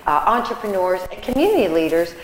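Casting: woman talk-show host, lowish voice, chatty with fillers, roomy kitchen set with long echo. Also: very animated, fast talker, a little hiss or hum. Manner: chatty, restarts and many fillers. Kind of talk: casual studio interview chat.

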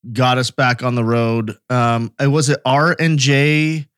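An American man speaks as a podcast co-host, with a medium pitch. He tells stories in a casual, conversational way, and the sound is dry with hardly any echo. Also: little pitch movement, a little slow, a bit bright, clear, resonant tone. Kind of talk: casual anecdotal chat.

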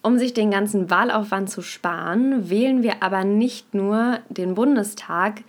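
A woman's voice: medium pitch, measured pace. A young woman hosting a podcast, explaining things to her listeners and speaking to them directly. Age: young adult. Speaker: podcast host. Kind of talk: scripted podcast intro and explainer.

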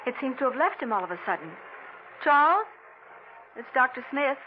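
Anxious high-voiced wife, tinny radio. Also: female voice, sing-song, normal speed. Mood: anxious, tender. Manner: pleading, concerned. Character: anxious, tender wife of an amnesiac, former nurse. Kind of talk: 1940s radio thriller acting. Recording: tinny, noisy old radio recording.

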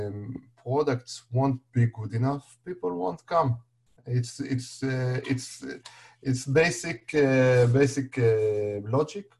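Mellow-voiced man with an Israeli accent. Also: medium pitch. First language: Hebrew. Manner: halting, many fillers and restarts. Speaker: guest. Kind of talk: casual interview.

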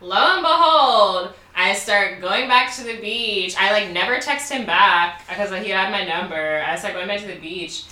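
Young woman from Baltimore, casual podcast co-host, bright voice, recorded off-mic in an echoey room. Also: medium pitch, moderate pace, hardly any pauses, recorded from a distance. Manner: candid, laughing, many "like" fillers. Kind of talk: casual storytelling chat.

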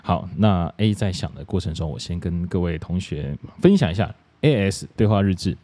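A man's voice: low-pitched, expressive, normal speed, mellow. A man with a Taiwanese Mandarin accent hosting a podcast in a casual, chatty way. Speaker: podcast host. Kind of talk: casual chat.

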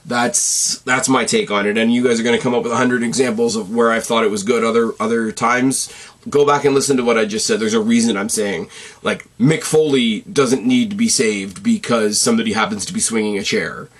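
Casting note: male host, wrestling commentary, slightly raspy, opinionated, swears, rambles. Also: fairly low pitch, fairly even intonation, brisk. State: losing his voice, a bit raspy. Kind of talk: casual commentary.

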